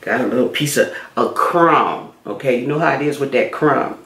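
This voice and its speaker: A woman's voice: extremely low pitch, normal speed, a bit bright, reverberant. A middle-aged woman chatting casually while doing her makeup for a beauty vlog, repeating herself as she goes. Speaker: beauty vlogger. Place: small room indoors.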